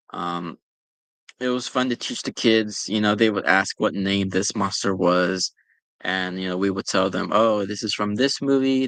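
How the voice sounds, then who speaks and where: A man's voice: lowish voice, gravelly, fairly bright tone, dry recording. A young man chatting casually about working at a store, rambling with lots of ums and you-knows. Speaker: former pop-up store worker answering questions. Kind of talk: casual chat.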